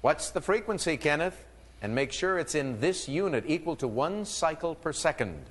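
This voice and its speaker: A man's voice: fairly high pitch, animated, normal speed, a bit bright, big-room sound. A man hosting a quiz show, reading clues and teasing contestants with playful asides. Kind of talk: game show hosting with banter.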